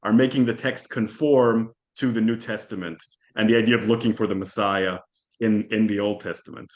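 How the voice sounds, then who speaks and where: A man whose first language is Hebrew, speaking as a podcast guest in a thoughtful, halting way with frequent restarts. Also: lowish voice, fairly even intonation. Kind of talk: conversational interview.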